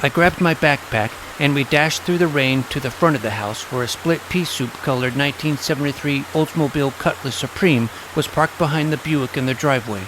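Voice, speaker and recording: A man's voice: mid-range voice, brisk, hardly any pauses, noisy background, natural voice. An actor narrating an audio drama in the first person as a plucky, self-assured boy detective recounting his adventures. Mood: relieved, wry. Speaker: narrator and lead character. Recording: loud dramatic music under narration.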